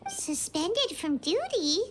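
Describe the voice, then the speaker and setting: A woman voicing a small, childlike fairy companion in a video game, bubbly, inquisitive and given to exclamations, with a squeaky voice. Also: very animated, slow pace, fairly bright tone, exaggerated voice. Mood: curious, surprised. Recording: music behind the voice.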